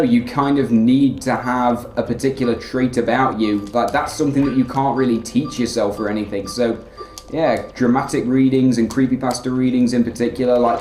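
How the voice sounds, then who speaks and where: A man giving rambling, filler-heavy let's play commentary in a flat, monotone way. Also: mid-range voice, echoey room. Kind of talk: casual gameplay commentary.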